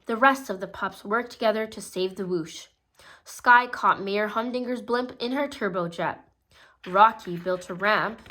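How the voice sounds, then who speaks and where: A woman reading a children's storybook aloud in a gentle, steady storytelling manner, with small slips now and then. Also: a little high. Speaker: storybook reader. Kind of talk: children's read-aloud narration.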